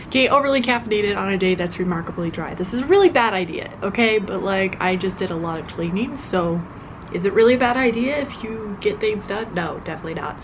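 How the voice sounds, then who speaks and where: A chatty young woman rambles through her day at home, her voice low-pitched with a gravelly fry. She sounds a bit congested and nasal, and a clear noise sits under the recording. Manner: chatty, rambling, self-deprecating. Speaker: vlogger. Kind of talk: casual vlog chat. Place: at home in an apartment.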